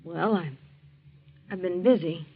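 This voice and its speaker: A woman's voice: lowish voice, takes it slowly, slightly rough, exaggerated voice. A proud young woman in an old 1940s radio drama. She speaks with firm, indignant defiance. The recording is dull and lo-fi. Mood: guarded. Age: young adult.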